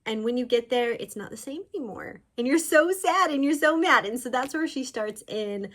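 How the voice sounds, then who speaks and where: A woman author talking informally about books, in an animated storytelling way. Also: high-pitched, normal speed. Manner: animated storyteller, voicing characters' thoughts in quotes. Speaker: author discussing own books. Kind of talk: chatty informal book talk.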